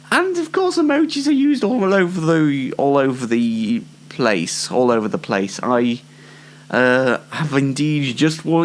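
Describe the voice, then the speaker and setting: Casting: British man, 20, solo show host, dry and rambling, with swooping intonation and slow delivery. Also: fairly high pitch. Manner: dry, tongue-in-cheek, rambling. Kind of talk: informal solo show presenting.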